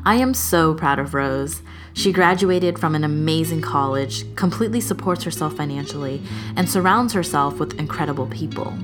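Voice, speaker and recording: Low-pitched woman narrator, measured and sincere, over music. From Chinese heritage. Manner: earnest, measured reading. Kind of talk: scripted narration.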